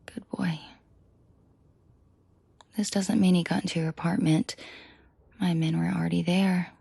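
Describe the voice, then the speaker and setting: A woman playing a commanding, protective and seductive mafia boss in an intimate audio roleplay, speaking in a breathy, controlled murmur that often drops to a half-whisper. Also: fairly low pitch, flat delivery, mellow, no room sound, full character voice. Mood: low menace. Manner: slow, deliberate, controlled murmur addressed closely to listener.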